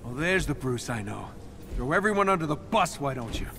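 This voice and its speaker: A man's voice: fairly high pitch, big pitch swings, a little fast, creaky, sharp tone, exaggerated voice. A man playing a cocky, defensive middle-aged superhero with a sarcastic manner in a video game. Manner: sarcastic, needling. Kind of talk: cinematic video game acting.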